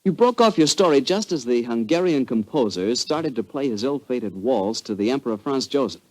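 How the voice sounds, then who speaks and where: A male radio announcer in the 1940s sponsor style. His mid-range voice is lively and varied, moving through a smooth, conversational pitch. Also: moderate pace, poor audio.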